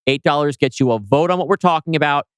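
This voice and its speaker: A man's voice: a little high, fast, clean tone, no room sound, clean studio sound. A man co-hosting a podcast in a casual, chatty style. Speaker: podcast co-host. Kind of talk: casual chat.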